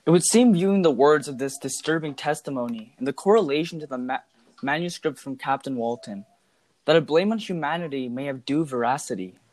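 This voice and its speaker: A man giving scripted analytical commentary in a formal, measured manner. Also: fairly high pitch, animated, darkish tone, no echo.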